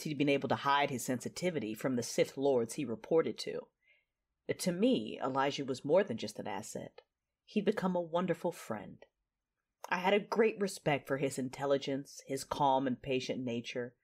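A woman's voice: extremely low pitch, a little mellow, husky, exaggerated voice. A woman acting as an intense, passionate Jedi knight, narrating her own story in a confessional, introspective audio drama style. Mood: fond. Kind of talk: first-person audio drama narration with dialogue.

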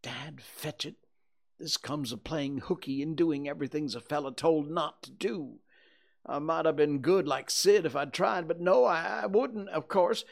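A man voicing an excitable, bossy and superstitious young boy with an emphatic, dramatic rustic dialect. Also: on the high side, a little slow, creaky, pitched above the performer's own voice. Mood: remorseful.

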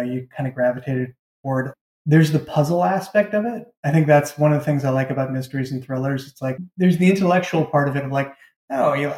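A man with a slightly high, mellow voice thinks aloud in casual, informal interview talk. The recording is roomy and echoing. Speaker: novelist and audio drama creator.

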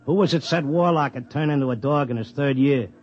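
Brief: male actor as a streetwise New tip-sheet man, gruff and slangy, 1950s radio play, muffled lo-fi audio. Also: medium pitch, a little fast, darkish tone, natural voice. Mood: determined. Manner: slangy, blunt, tough-guy talk. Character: streetwise Broadway racetrack tipster, devoted husband. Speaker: narrator and lead. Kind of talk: 1950s radio drama acting. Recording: dull 1950s radio broadcast.

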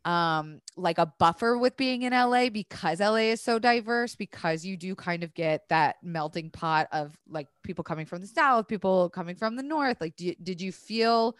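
A woman's voice: on the low side, expressive, moderate pace, few pauses, smooth, no echo, studio quality. A woman raised in Florida hosting a podcast, gushing, swearing freely and filling her talk with "like". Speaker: podcast host. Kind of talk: casual chat.

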